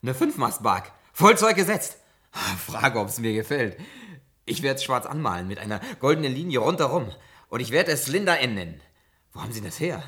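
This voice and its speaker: A man's voice: mid-range voice, big pitch swings, moderate pace, fairly bright tone. An actor in a German radio play, voicing a blunt, boastful, evasive man who talks colloquially. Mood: excited, boastful.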